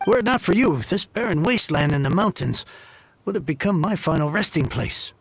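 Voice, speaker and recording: A man's voice: on the high side, lively intonation, brisk, husky. A man playing an effusive, formal heir of a fallen merchant family in a video game, speaking earnestly and wordily. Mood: grateful, earnest.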